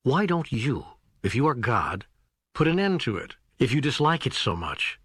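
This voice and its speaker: A man's voice: mid-range voice, big pitch swings, normal speed, a bit bright, slightly rough, no room sound, studio quality. A man narrating scripted audiobook dialogue in a questioning, conversational manner.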